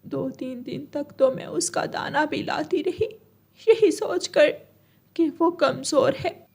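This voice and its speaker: A grieving mother myna bird acted by a woman in a children's cartoon. Her voice is very high and plaintive, with a trusting, tearful manner. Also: slow pace, gravelly, darkish tone, heavily acted.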